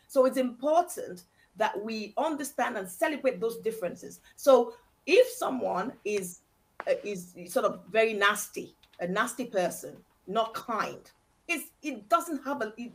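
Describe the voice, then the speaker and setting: A middle-aged woman from Nigeria with a Nigerian accent, speaking passionately as a guest over a video call from a room at home. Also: fairly high pitch. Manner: emphatic, repeats "okay?" for stress. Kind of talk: passionate discussion. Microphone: video call webcam.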